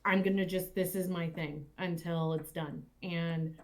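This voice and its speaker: A woman in a reflective, casual panel conversation, speaking in halting, thoughtful phrases. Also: fairly low pitch, steady tone, darkish tone. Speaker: cast or crew member on a panel.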